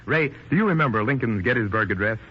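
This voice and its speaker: A man's voice: mid-range voice, expressive, fast talker, husky. A man presenting a radio demonstration in a formal, showman-like 1930s announcing style. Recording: dull lo-fi 1930s radio recording.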